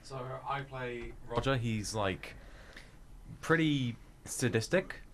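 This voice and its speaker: A man's voice: medium pitch, slow, slight background noise. A young man, a drama student answering casually in an interview. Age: young adult.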